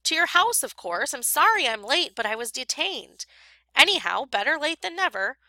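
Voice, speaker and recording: A woman reading aloud and voicing a man who teases his absent-minded friend in a high, bouncy voice. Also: sing-song, moderate pace, sharp tone, dry recording, heavily acted. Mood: teasing.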